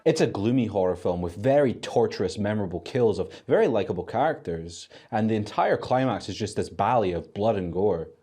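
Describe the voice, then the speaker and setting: A man's voice: on the low side, expressive, a little fast, few pauses, dark tone. A man reading a scripted film review as a video reviewer, with opinionated, colloquial phrasing.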